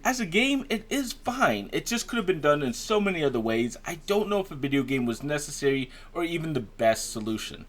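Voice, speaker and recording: A man's voice: on the high side, very animated, brisk, few pauses, fairly bright tone. Conversational man reviewing video games.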